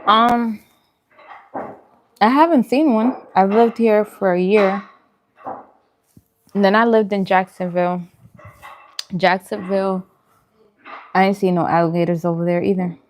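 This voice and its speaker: A woman chatting casually and colloquially with her viewers, as on a livestream during a relaxed meal. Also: mid-range voice, unhurried, pauses often, a little hiss or hum. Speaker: vlogger hosting a mukbang livestream. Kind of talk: casual livestream chat with viewers while eating.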